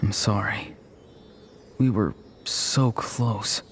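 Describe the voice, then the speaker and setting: An actor playing an earnest, self-doubting young warrior in a video game, emotional and halting. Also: male voice, lowish voice, fairly even intonation, noisy background. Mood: weary, remorseful. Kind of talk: dramatic video game voice acting.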